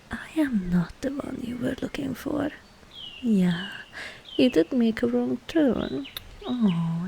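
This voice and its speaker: A woman playing a scheming, seductive witch in a whispered ASMR roleplay, breathy and teasing, with falsely soothing reassurance. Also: medium pitch, mellow, a little hiss or hum. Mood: sly, teasing.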